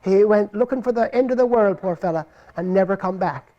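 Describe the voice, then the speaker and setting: A man voicing a frail, ancient old mother with a high, quavering voice in a told folk tale. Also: fairly quick, warm tone, highs cut off, close to an everyday voice.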